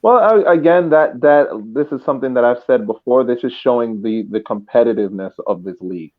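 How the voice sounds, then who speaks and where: A man's voice: medium pitch, a little fast. A man co-hosting a casual sports podcast, restating his points as he talks. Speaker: podcast co-host. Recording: thin, phone-like remote call sound.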